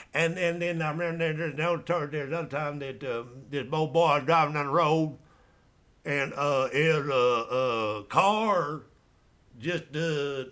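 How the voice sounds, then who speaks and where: A man's voice: a little high, fairly bright tone. An American man doing stand-up as a rambling comic storyteller, with a folksy, colloquial and meandering delivery. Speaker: stand-up comedian.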